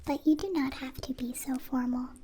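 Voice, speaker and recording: A woman voicing a gentle, polite alien in an ASMR roleplay, half-whispering in halting, formal phrases. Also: high-pitched, steady tone, husky, pitched above the performer's own voice. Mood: grateful, polite. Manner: halting, formal, stilted phrasing without contractions. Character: gentle, polite young alien, grateful new friend.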